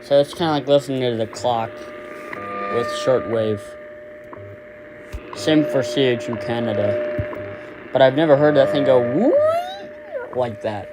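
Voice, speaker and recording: A man talks casually as he tunes a radio, thinking out loud in an informal way. His voice sits at a middling pitch. Loud sound from the radio plays behind him. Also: measured pace, pauses often, loud music behind the voice, rough recording. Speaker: livestream host, shortwave radio hobbyist. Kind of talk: casual live commentary while tuning a radio. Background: shortwave radio signals and noise.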